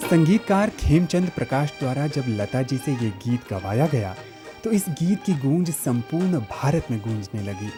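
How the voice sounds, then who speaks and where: A man's voice: medium pitch, sing-song, normal speed. A man reading scripted documentary narration as a narrator. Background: loud music.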